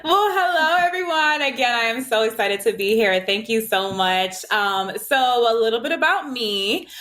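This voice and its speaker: A woman's voice: a little high, expressive, moderate pace, fairly bright tone. Chatty woman in her thirties. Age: 30s. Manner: chatty, laughing often, fillers. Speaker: podcast guest. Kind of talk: casual interview chat.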